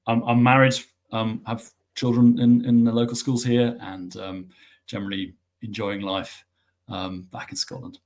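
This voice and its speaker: A middle-aged man, a guest explaining things in a measured way with frequent ums. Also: medium pitch, flat delivery, a little mellow. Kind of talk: explanatory interview answers.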